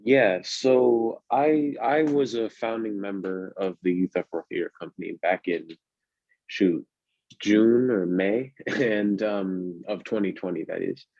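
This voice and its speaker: Deep-voiced hesitant teen set designer. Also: male voice, slow pace, a little mellow, dry recording. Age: late teens. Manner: hesitant, many ums and restarts, laughs lightly. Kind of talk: casual discussion on a video call. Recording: dry, muffled low-fi mic.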